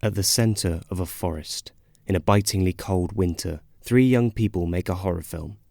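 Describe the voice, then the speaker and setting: A man's voice: low voice, moderate pace, creaky, warm tone, no echo, clean studio sound. A man reading scripted, dramatic promo narration for a stage show. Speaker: promo voice-over.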